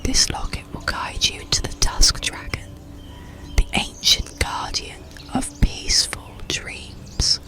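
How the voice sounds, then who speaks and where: A storyteller voicing a wise, gentle elderly woman dreamweaver in a soft, solemn whisper for a bedtime story. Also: slow, close to an everyday voice. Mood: grave, gentle.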